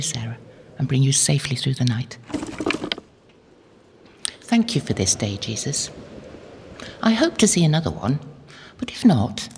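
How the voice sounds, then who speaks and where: A woman playing a gentle, devout medieval anchoress in an audio drama, hushed and contemplative, at times near a whisper. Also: on the low side, creaky, full character voice. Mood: calm, prayerful. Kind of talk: audio drama acting, reflective monologue. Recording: long reverb, faint background noise.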